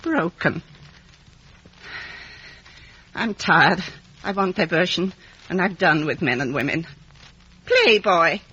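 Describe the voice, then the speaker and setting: Old lady, bitter, imperious, raspy low voice, dramatic swings, muffled old radio play. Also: lively intonation, a little slow, sharp tone, full character voice. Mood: bitter, weary. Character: bitter, weary, commanding rich old lady recluse. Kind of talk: 1950s radio drama acting. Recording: dull vintage radio broadcast.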